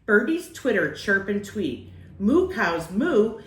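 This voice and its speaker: Expressive woman reading a storybook, lively swooping pitch. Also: slow pace, reverberant. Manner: acts out phrases, lingers on key words. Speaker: storyteller reading a picture book aloud. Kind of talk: expressive children's storybook read-aloud.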